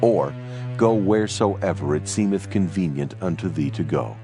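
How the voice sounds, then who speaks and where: A man playing an ancient Babylonian guard captain in a dramatized scripture reading, slow, formal and solemnly authoritative. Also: low-pitched, over music, natural voice. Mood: measured, granting.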